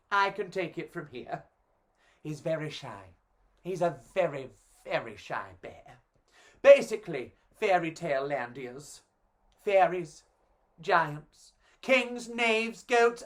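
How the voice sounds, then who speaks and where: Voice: mid-range voice, a bit bright. A self-important young fairy-tale starlet, as a children's storyteller plays the part. This storybook girl gushes and boasts, making every sentence a grand speech. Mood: gushing, excited, then grandiose.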